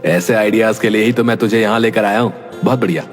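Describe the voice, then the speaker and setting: A man's voice: fairly low pitch, steady tone, fast, big-room sound, music in the background, lightly acted. A man voicing a crafty, grumbling rival barber plotting in a cartoon story. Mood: pleased, sly. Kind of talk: Hindi cartoon moral-story acting.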